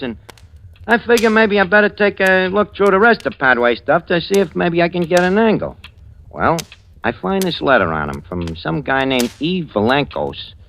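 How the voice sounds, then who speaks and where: An actor playing a shifty, wisecracking New York crook who talks in streetwise slang, in 1940s radio style. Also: male voice, mid-range voice, a bit bright, slight background noise. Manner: streetwise slangy talk with bad grammar. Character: shifty, wisecracking American crook posing as a gentleman. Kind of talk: 1940s radio acting, first-person narration. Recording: old radio recording with music behind.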